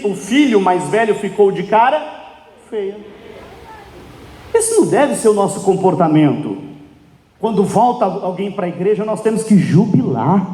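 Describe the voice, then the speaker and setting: Male Brazilian pastor, high-pitched and emphatic, preaching to exhort, recorded in a very reverberant hall. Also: warm tone. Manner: emphatic, exhortative, acting out dialogue and repeating phrases for effect. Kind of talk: preaching a sermon. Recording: echoey hall with long reverb.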